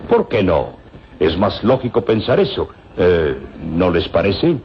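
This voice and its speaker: An actor playing an earnest, middle-aged professor and father in a radio serial, with grave, deliberate, formal delivery. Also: low-pitched, big pitch swings, exaggerated voice. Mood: thoughtful. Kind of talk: vintage radio serial acting. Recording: dull, noisy old radio recording.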